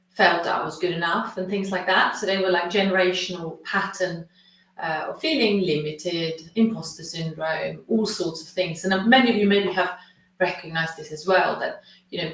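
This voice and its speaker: A middle-aged woman from Sweden, leading a class as a coach in an earnest, explanatory, slightly halting way. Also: on the low side, fairly bright tone. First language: Swedish. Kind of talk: informal presentation to an online class. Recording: very echoey room, distant mic.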